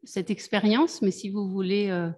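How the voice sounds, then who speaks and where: A woman's voice: mid-range voice, steady tone, normal speed, mellow. A middle-aged woman moderating a panel over a video call from a room with bookshelves, speaking in an encouraging, conversational way. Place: home office with bookshelves.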